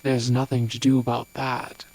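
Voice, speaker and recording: A woman playing a coolly calculating empress in an audio drama, deliberate and restrained. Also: rumbling low, level tone, a little mellow, slight background noise, rough recording. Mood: cold, resigned.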